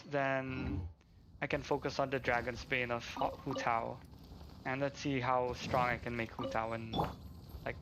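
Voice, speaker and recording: A man's voice: mid-range voice, noisy background. A man chatting casually on a game livestream, rambling and thinking aloud as he plays. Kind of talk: casual livestream chat while gaming. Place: at home. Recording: game music playing behind. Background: video game music.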